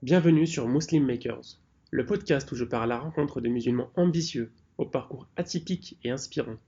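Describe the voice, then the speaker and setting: A man's voice: medium pitch, fairly quick, mellow, husky, highs cut off. A man hosting a podcast, putting questions to his guest in a conversational way. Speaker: podcast host. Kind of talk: conversational interviewing.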